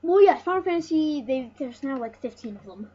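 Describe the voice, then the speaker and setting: A high-voiced speaker giving casual let's play commentary as host of a retro gaming series, rambling and often restarting phrases. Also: animated, a little fast, warm tone. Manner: rambling, repeats and corrects phrases. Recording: muffled, noisy home recording.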